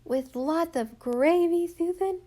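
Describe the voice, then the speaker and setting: A woman voicing a small, earnest little girl who lisps her s sounds and talks in a slow, pleading way. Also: very high-pitched, dark tone, pitched above the performer's own voice. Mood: eager. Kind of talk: storybook reading.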